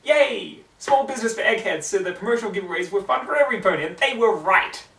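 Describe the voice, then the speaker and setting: A man voicing a young female unicorn librarian, earnest, fussy and easily excited, in a story reading. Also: sits high, quick pace, vocal fry, echoey room, far from the mic, voice pushed up, exaggerated voice. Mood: gushing, excited. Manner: exasperated, fussy, prone to gushing.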